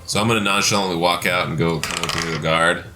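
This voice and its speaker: Deep-voiced man playing a tabletop game. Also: fairly even intonation, quick pace. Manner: joking, informal. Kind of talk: casual roleplaying chat. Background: music.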